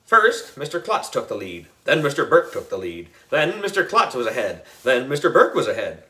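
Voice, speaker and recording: Male children's audiobook reader: playful and exaggerated, very lively pitch, bright delivery. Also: moderate pace. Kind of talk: animated children's story narration.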